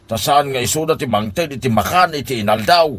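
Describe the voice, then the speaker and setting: A man's voice: medium pitch, lively intonation, a little fast, fairly bright tone, a little hiss or hum, lightly acted. A man playing a nagging, concerned older uncle in a comedy radio drama. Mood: exasperated. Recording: music under the voice. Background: background music.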